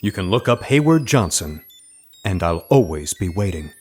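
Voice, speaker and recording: A man's voice: low voice, very animated, moderate pace, a little mellow, faint music behind. A man playing a self-important actor turned undercover spy in a comedy audio drama, speaking in a grandiose, earnest way. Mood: warm, flourishing.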